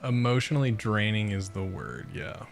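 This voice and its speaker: A man livestreaming a game, reacting casually and thinking aloud in a rambling, halting way. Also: on the low side, creaky. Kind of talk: casual live reaction and chat.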